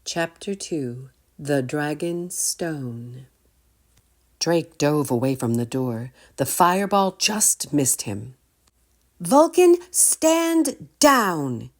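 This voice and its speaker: Female storytime reader: low register, unhurried, deliberate and expressive children's narration. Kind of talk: children's story read-aloud with questions to listeners.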